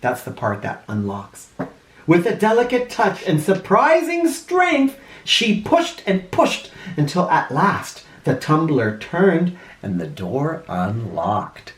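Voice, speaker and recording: A man reading a storybook aloud like a schoolteacher, expressive and deliberate, with dramatic emphasis. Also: fairly high pitch. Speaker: first grade teacher. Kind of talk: animated storybook read-aloud for children. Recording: roomy, echoey indoor sound.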